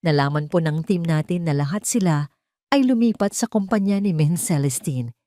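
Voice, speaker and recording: A woman's voice: low voice, lively intonation, moderate pace, mellow, no room sound. A woman voicing a nervous female executive assistant in an audio drama, respectful and hesitant. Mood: nervous, deferential.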